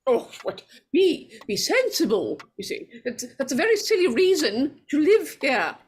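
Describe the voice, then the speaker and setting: A woman's voice: high voice, sing-song, measured pace. A woman playing a nervous, superstitious, insistent lady in an old-time radio horror play, stammering and repeating herself. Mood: anxious pleading.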